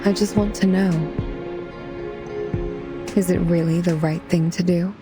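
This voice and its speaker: A woman's voice: on the low side, fairly even intonation, a little slow, over music, close to an everyday voice. A woman playing a weary, conflicted character in a video game who doubts her own choices. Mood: troubled.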